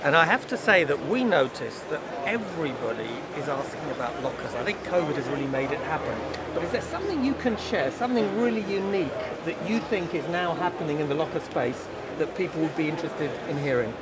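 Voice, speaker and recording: A man's voice: on the high side, animated, a little fast, very noisy. A middle-aged man hosting interviews at a trade fair, talking in a conversational, upbeat way in a busy exhibition hall. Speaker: host interviewer.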